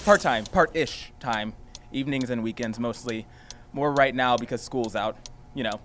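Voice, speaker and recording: An actor playing an excitable, awkward, friendly young helper who rambles in a naturalistic audio drama. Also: medium pitch, moderate pace, slight background noise, natural voice.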